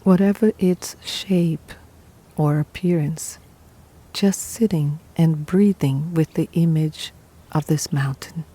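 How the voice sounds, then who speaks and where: A woman guiding a meditation in a calm, unhurried, soothing manner, leaving pauses between short phrases. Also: deep voice, husky. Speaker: meditation guide. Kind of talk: guided meditation, scripted narration.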